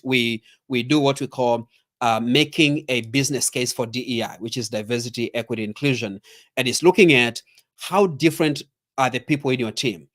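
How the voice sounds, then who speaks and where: A middle-aged man with a Kenyan accent speaks at an average pitch. He delivers a motivational training talk as a leadership coach. He stresses and repeats his key points and speaks straight to the listener. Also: fairly bright tone.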